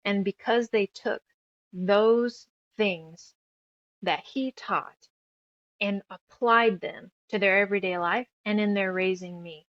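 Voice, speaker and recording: A woman's voice: medium pitch, slow, no room sound. Woman from New Mexico, slightly dull audio. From New Mexico, United States. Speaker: interview guest, author and radio show producer. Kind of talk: casual interview conversation, personal reflection.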